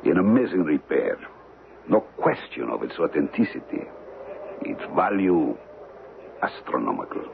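An actor playing a shady, calculating smuggler in a radio mystery, speaking deliberately and formally with dramatic pauses. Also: male voice, very deep voice, big pitch swings, gravelly, exaggerated voice. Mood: scheming, persuasive. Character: shady, calculating male smuggler of stolen antiquities. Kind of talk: old-time radio mystery acting. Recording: muffled, noisy old radio broadcast recording.

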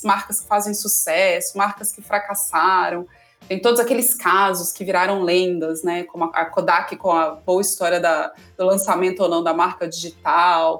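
A woman's voice: on the low side, fairly bright tone. A woman co-hosting a podcast, talking in a chatty way and often closing phrases with a tag question. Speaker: podcast co-host. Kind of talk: casual podcast chat.

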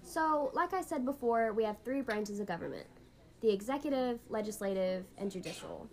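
A woman with a fairly high voice speaks as the host of an educational podcast. Her delivery is scripted and explanatory, laying out each point like a lesson. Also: expressive, normal speed, darkish tone.

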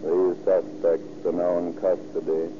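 A deep-voiced police dispatcher reads an official bulletin in a slow, utter monotone. The old radio sound is muffled and clearly noisy. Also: male voice. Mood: flat, official.

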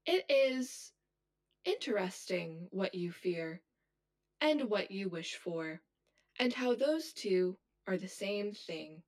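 A woman's voice: medium pitch, expressive, takes it slowly. An actress playing a cold female inner double in an audio drama, precise, clinical and condescending. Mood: taunting. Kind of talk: scripted audio drama acting.

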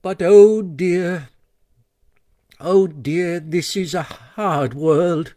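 A man's voice: high-pitched, very slow, dark tone, voice pushed up. A man voicing a boastful, vain male toad who pleads and laments in grand, quavering tones. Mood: grandiose lament.

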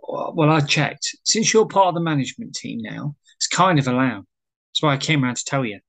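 A man's voice: a little high, expressive, a little fast, gravelly, a bit bright, exaggerated voice, rough recording. A man playing a hopeful, sheepish suitor in acted drama dialogue, hesitant and wheedling. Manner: hesitant, wheedling, easily flustered. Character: hopeful, sheepish suitor pleading with his lover. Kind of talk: amateur script read-through, acted dialogue.

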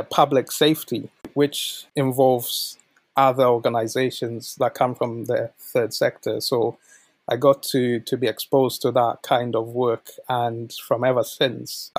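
A man's voice: medium pitch, a little mellow, no echo. A man in his late twenties from Zambia who moved to the UK as a boy, answering interview questions conversationally. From born in Zambia, moved to the UK at twelve. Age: late 20s. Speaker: podcast guest, volunteer manager.

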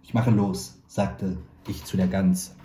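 A man reading a short story aloud in a calm, measured way, lightly voicing the dialogue. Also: low-pitched, reverberant, a little hiss or hum. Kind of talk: literary reading.

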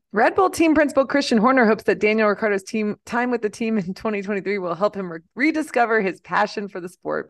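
Woman cohost reading news aloud, jokey casual chat. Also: mid-range voice. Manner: reads news aloud, then jokes about it. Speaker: podcast co-host.

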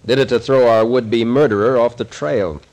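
An actor playing a gruff, shrewd captain who takes charge, dry and commanding, in an old radio thriller. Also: male voice, mid-range voice, fairly even intonation, normal speed, darkish tone, close to an everyday voice. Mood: dry, explaining.

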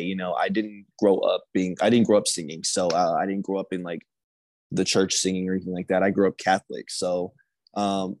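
Man, casual conversation, soft-spoken, self-deprecating, lowish voice, dry sound. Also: no echo. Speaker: singer guest.

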